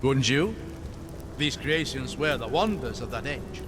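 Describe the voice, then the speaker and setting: A man's voice: medium pitch, lively intonation, measured pace, natural voice. A man playing a scholarly, curious companion in a video game, articulate and thoughtful, fascinated by ancient magic. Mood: musing. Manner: thoughtful, articulate, wonder-struck explaining. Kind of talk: dramatic video game dialogue. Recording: loud background noise, game ambience.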